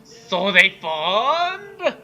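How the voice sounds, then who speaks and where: A man's voice: high voice, huge pitch swings, very slow, sharp tone, dull audio, soft background music. A man reading fan fiction dramatically and chatting casually between passages. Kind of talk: dramatic story reading mixed with casual banter.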